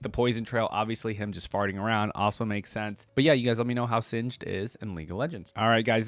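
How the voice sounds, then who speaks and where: Male reaction host, mid-pitched, informal and chatty commentary, dry studio-tight sound. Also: dry recording. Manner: informal, chatty, with exclamations and questions. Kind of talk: casual reaction commentary with some reading aloud.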